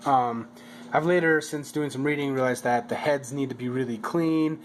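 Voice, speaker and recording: A man with an average-pitched voice talks through a hobby project like a home vlogger. His delivery is casual and halting, with words repeated as he feels his way along. Noticeable background noise runs behind him. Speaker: hobbyist video maker. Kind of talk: casual narrated project vlog.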